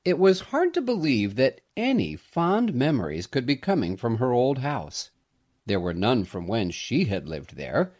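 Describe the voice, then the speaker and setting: A man reading a scripted Christmas story aloud in an expressive storytelling manner. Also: mid-range voice, unhurried. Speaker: storyteller, podcast host.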